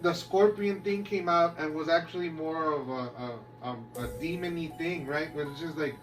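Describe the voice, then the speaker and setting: A man's voice: on the high side, moderate pace. A man co-hosting a podcast, rambling casually in slangy talk and swearing freely. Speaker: podcast co-host. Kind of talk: casual chat about movies and video games. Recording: distant mic with music behind. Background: music.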